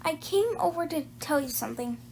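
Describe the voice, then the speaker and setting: Nervous kid voiced by a woman, high, halting, evasive, for a children's storybook. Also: expressive, creaky, a little mellow, slight background noise. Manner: hesitant, stammering. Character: nervous, evasive young girl, friend of detective.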